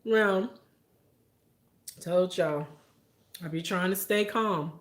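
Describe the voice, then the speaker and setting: A young woman, telling a story to her viewers in a casual, animated way. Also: takes it slowly, echoey room. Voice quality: deep voice for a woman. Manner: animated, laughing, talks straight to viewers. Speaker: vlogger.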